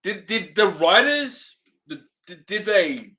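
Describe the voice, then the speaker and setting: Animated, bright-voiced sarcastic man. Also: sits high, takes it slowly. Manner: incredulous, sarcastic. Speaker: podcast co-host reviewing movies. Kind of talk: casual, profane banter.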